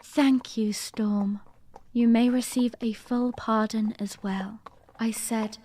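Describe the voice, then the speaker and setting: A young princess, played by a woman, tells her memories in an audio drama. Her voice is high and very unhurried, ladylike and lightly amused. It rings in a long hall reverb with some noise. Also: steady tone, warm tone. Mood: warm, composed. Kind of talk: audio drama narration and dialogue.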